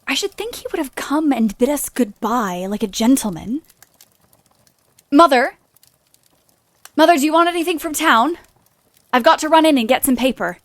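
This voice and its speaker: A woman's voice: sits high, lively intonation, moderate pace, pauses often. A woman playing an earnest, proud, emotional young woman in love in a period audio play, speaking politely and a little hesitantly. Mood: cross, restless.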